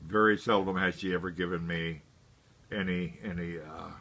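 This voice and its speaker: A man in his seventies from Hawaii recalls his life in a very low register with a bright, forceful edge. He speaks deliberately, halting often and leaving long pauses as he remembers. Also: steady tone. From Hawaii, United States. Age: 70s. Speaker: interviewee, grandfather. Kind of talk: unscripted family reminiscence.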